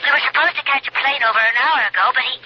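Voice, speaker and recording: An actress playing a worried, frightened wife in a radio drama, halting and anxious as she asks for help. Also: high-pitched, close to an everyday voice. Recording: dull, noisy old radio broadcast.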